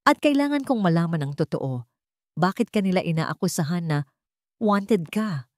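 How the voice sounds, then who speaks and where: A woman's voice: lowish voice, expressive, no echo, studio quality, natural voice. A woman voicing a determined, protective doctor in a dramatic story, speaking firmly and earnestly. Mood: serious. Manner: firm, earnest, deliberate.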